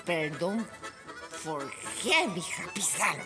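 Cheeky, slow-talking pirate mate played by an actress. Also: female voice, fairly low pitch, sing-song. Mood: teasing. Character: cheeky, loyal, hard-drinking pirate under a captain. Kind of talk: radio drama acting. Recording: music under the voice.

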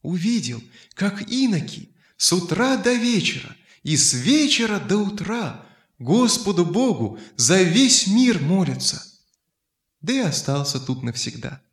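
Very animated male fairy-tale storyteller, slightly high. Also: unhurried, reverberant. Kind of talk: expressive scripted fairy-tale narration for children.

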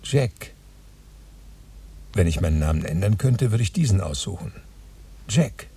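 An actor in a German radio play voicing a fussy, grumbling man who pedantically thinks aloud, his voice husky. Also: fairly low pitch, lively intonation, measured pace, mellow, recorded from a distance. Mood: insistent.

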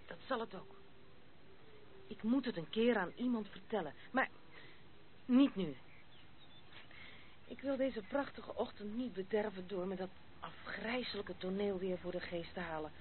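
Through a thin, phone-like and faintly hissy old radio recording comes a woman acting a proud young noblewoman. She is troubled and guarded, halting as she speaks, with defiance in her radio-play delivery. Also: medium pitch, lightly acted. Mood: anguished.

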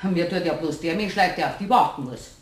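An actress playing a nagging, exasperated woman with a Bavarian accent in a radio play, reproachful and sharp-tongued. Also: on the low side, expressive, fairly quick, lightly acted. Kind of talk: German radio play acting. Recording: roomy, echoing radio play sound.

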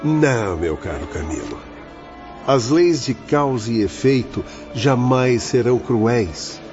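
Earnest Brazilian doctor, lo-fi radio drama. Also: male voice, medium pitch, expressive. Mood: firm, explaining. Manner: formal, measured, didactic. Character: earnest male spirit doctor, patient and moralizing. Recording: dull lo-fi audio under music.

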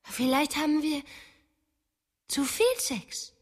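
A woman's voice: sits high, steady tone, slow. A fragile, distraught young woman. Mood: uneasy. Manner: emotional, distraught. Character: fragile anxious young woman Emma, off her pills. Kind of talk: German radio drama acting.

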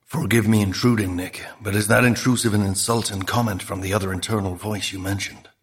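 A man's voice: on the low side, fairly even intonation, a little fast, close to an everyday voice. A man voicing a dying psychic dugong in an audiobook, solemn, ponderous and formally wordy. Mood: grave, urgent. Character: dying dugong, male, psychic, solemn, verbose, sounding like a grave film star.

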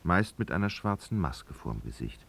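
A man's voice: deep voice, big pitch swings, moderate pace, vocal fry, fairly bright tone, breathy edge, heavily acted. A man narrating a literary radio drama, reading expressively and dramatically.

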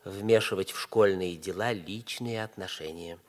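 An actor playing a petty, sneaky schoolboy informer who reports deliberately, as if from notes, in a mid-century radio play. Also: male voice, low voice. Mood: sly, insistent. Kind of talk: mid-century Soviet stage acting for radio.

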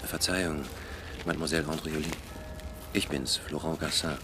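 An actor playing a polite, formal young police inspector in a radio play. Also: male voice, very deep voice, level tone, moderate pace, noisy background. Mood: polite, restrained. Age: young adult. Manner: courteous, formal.

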